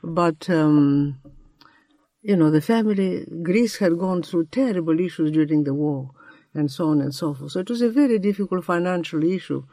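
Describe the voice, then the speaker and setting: A woman of around ninety, recalling her past in a halting way, often repeating "yeah". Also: on the low side, mellow, no echo. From Romania. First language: Romanian. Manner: halting, many repeated yeahs. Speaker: interviewed poet. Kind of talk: reflective conversation.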